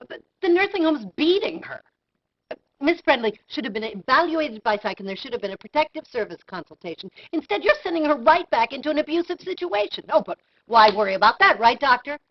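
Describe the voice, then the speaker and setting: An actress playing a sarcastic, scolding hospital supervisor in a game's medical drama. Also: female voice, high-pitched, big pitch swings, a little fast. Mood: sarcastic, indignant. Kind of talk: acted medical drama dialogue. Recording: dull lo-fi game video.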